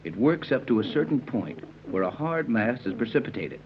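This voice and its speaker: A man playing a resolute captain and scientist in an old-time radio serial, measured and authoritative. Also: medium pitch, very animated, close to an everyday voice. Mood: confident, measured. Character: steady, resolute captain and chemist, grown man. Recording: muffled, noisy vintage radio recording with hum.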